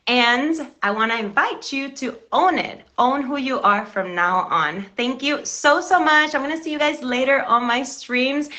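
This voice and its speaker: Cuban-born woman on her live stream, bright voice, a bit high, pep-talking her viewers. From Cuba. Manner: earnest, encouraging, addresses viewers directly. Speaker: live stream show host. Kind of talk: motivational live-stream talk.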